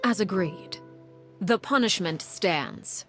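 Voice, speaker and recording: A woman voicing a cold, commanding woman who heads a house of orphans, speaking in a calm, measured, softly menacing way with a hushed, breathy delivery. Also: mid-range voice, faint music behind. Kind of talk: video game cutscene dialogue.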